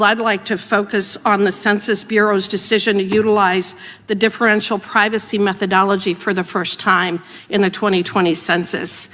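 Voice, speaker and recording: A woman's voice: mid-range voice, fairly bright tone. An American woman speaking formally as a politician questioning witnesses at a hearing. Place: large indoor hearing room. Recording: reverberant hearing room.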